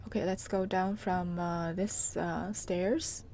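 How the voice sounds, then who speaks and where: A woman reporter giving an unscripted live tour on location, in a halting, hesitant way with pauses mid-phrase. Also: lowish voice, steady tone, a little mellow. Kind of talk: unscripted live walk-through narration. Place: on location inside an old house. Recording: clearly noisy on-location live recording.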